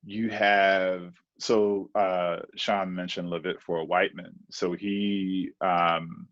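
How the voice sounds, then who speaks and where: A man with a very low voice speaks as a panelist, pausing and restarting his phrases often. The sound is dry and close, with no room around it. The audio is dull and lo-fi. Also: flat delivery, a bit bright, no echo. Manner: halting, repeated restarts. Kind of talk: academic panel discussion.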